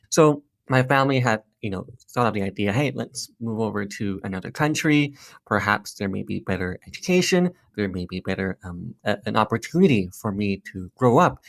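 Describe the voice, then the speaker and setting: A man's voice: on the low side, dry recording. A man in his thirties from Hong Kong, a guest telling his own story in an earnest, reflective way with some fillers and restarts. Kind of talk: personal storytelling interview.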